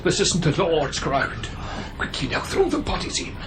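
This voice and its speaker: Alarmed, high-pitched village parson. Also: male voice, very animated, noisy background. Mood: panicked, commanding. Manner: urgent, dramatic, halting. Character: alarmed, commanding village parson at a haunted burial. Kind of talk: radio horror drama acting.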